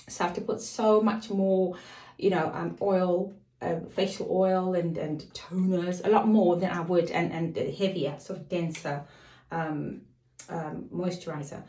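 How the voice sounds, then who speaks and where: A middle-aged woman with a slightly croaky voice, casually chatting to camera as a lifestyle vlogger, rambling off on tangents. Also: medium pitch, warm tone, a little hiss or hum. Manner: rambling, digresses and apologizes. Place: home. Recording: echoey room.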